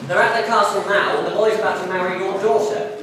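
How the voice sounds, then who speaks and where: A man's voice: sits high, steady tone, fast. A young man playing a cheeky, pun-loving prophet with matter-of-fact comic timing in a school stage pantomime. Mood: deadpan joking. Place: school theatre stage, filmed from far off. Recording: echoey hall, distant, loud audience noise.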